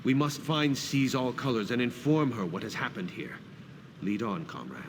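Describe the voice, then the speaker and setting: A man's voice: medium pitch, lively intonation, moderate pace, noisy background, natural voice. An actor playing a devout, resolute warrior guildsman in a fantasy video game, solemn and emphatic. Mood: grave.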